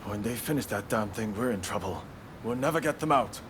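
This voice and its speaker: A man's voice: on the low side, lively intonation, brisk, noisy background, natural voice. An actor playing a bold, fiery rebel samurai commander in a video game, brash and dramatic in his delivery. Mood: grim. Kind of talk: video game cutscene acting. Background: music.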